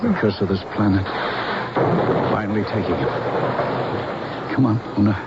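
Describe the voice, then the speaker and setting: A man's voice: on the low side, normal speed, lightly acted. A man playing a loyal, protective humanoid robot servant in an earnest old-time radio drama style. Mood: grave, gentle. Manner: earnest, theatrical. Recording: dull, hissy old radio recording.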